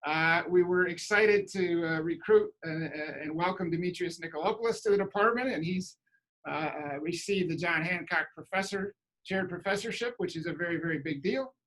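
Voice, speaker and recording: A man hosting an awards ceremony over a video call, speaking informally with halting, hesitant phrasing. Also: high voice, fairly bright tone, low-quality recording. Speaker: academic department head hosting awards. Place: indoors, virtual event on video call.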